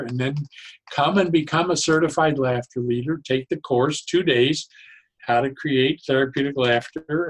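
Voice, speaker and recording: An older man speaking as a podcast guest, talking conversationally and encouragingly, with deliberate emphasis on key phrases. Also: medium pitch, breathy edge, rough recording. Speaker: podcast guest, laughter advocate. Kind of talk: conversational interview talk.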